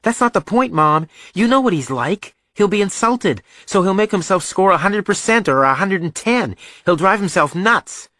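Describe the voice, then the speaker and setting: A man's voice: high voice, lively intonation, normal speed, hardly any pauses, bright, forceful tone, dry recording, voice pushed up. A man voicing a stubborn, argumentative schoolboy with blunt, wry protests in an audiobook. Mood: exasperated. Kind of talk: audiobook character dialogue.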